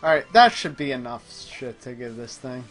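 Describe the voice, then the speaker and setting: A man's voice: medium pitch, sing-song, moderate pace, soft background music. Distracted man chatting while gaming. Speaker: gaming show host playing the game. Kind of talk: casual chat while playing.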